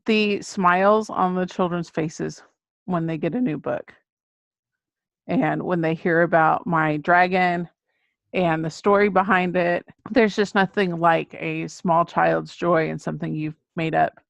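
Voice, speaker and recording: A woman's voice: on the low side, steady tone, a little slow, vocal fry, dry recording. A middle-aged American woman, a children's book author, talking about her writing as an interview guest. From Texas, United States.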